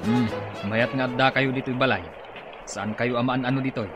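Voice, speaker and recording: A man's voice: mid-range voice, fairly even intonation, normal speed, loud music behind the voice, natural voice. A man with an Ilocano accent playing a sensible, chiding older neighbor in a radio drama. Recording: noisy outdoor ambience behind voice.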